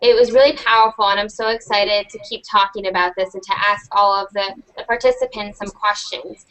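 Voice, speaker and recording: A woman's voice: mid-range voice, fairly even intonation, brisk, fairly bright tone, poor audio. A young American woman in her teens, enthusiastically hosting an online panel with a prepared introduction. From United States. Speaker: host.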